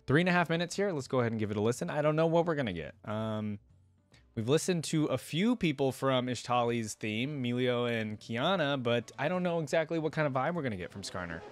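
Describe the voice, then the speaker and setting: A man's voice: mid-range voice, animated, brisk, no room sound. A man in his twenties giving casual live reaction commentary, thinking aloud in an informal way into a close microphone. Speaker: reaction video host. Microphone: close desktop microphone, headset worn. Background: game theme music.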